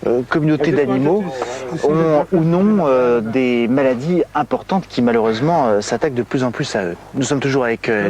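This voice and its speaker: A man with a French accent from France presents a nature documentary outdoors, explaining things in a measured way with hesitant pauses. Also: mid-range voice. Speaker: television presenter. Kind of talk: live-style documentary presenting, on location. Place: outdoors in African savanna.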